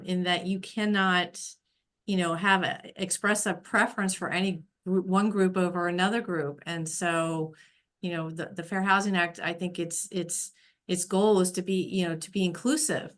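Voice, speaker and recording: A woman's voice: on the low side, a bit bright. Hesitant woman weighing a legal question. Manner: hesitant, repeats words, cautious. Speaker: panelist on fair housing. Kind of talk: webinar panel discussion.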